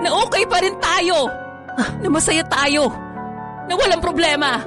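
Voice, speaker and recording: Actress as weary girlfriend, high voice, Filipino accent, defensive, radio drama, music behind. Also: measured pace. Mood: sad, resigned. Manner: reluctant, defensive. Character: evasive, weary girlfriend falling out of love. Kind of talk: Tagalog online radio drama acting. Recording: music bed under the voice.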